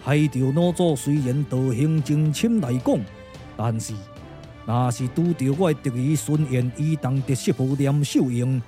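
A man voicing a calm, confident elder general with a Taiwanese accent, in measured, formal puppet-drama declamation. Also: mid-range voice, soft background music, close to an everyday voice. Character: calm, confident strategist general Sun Bin, an elder uncle.

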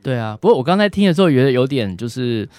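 A man's voice: medium pitch, very animated, quick pace, darkish tone, no room sound. A man with a Taiwanese accent, a doctor explaining conversationally as a radio guest, often ending phrases with short tag particles. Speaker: doctor answering questions, guest.

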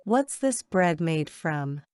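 A woman reading short English phrases for a language lesson, saying each one slowly and carefully twice. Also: sits low, big pitch swings, a little mellow, no echo, studio quality. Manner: measured, careful enunciation. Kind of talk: slow repeated drill phrases.

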